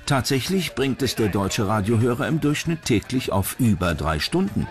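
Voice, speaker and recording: A man's voice: mid-range voice, animated, normal speed. A man from reading scripted documentary narration. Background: music bed under the voice.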